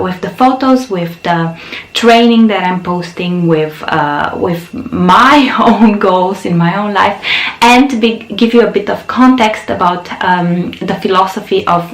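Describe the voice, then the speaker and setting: A woman's voice: mid-range voice, roomy sound, rough recording. A woman in her thirties, Romanian-born with Romanian as her first language, hosting her own channel and telling her life story in a frank, chatty way. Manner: frank, chatty, with many fillers and laughs. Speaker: yoga channel host. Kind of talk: personal storytelling to camera.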